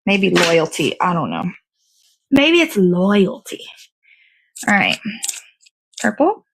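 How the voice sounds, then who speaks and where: A woman's voice: lowish voice, wildly swooping pitch, slow, vocal fry, slightly rough, poor audio. A woman hosting a casual hands-on cake decorating demo, talking to viewers and half to herself in short fragments as she works. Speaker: show host.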